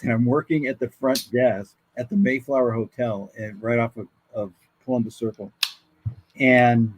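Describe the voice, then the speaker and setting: An older man with an American accent tells loose, casual stories as a guest. His pitch is average and the sound is dry, with very little room. He acts out both sides of the conversations he recalls. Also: dry recording, low-quality recording. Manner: animated, acts out quoted dialogue. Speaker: guest voice actor. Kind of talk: casual anecdotal storytelling.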